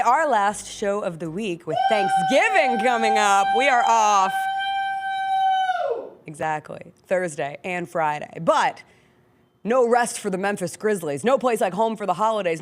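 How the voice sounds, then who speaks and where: A woman with a mid-range voice works as a talk show host. She speaks in a casual sports-talk style and moves easily into show promos. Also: medium pitch.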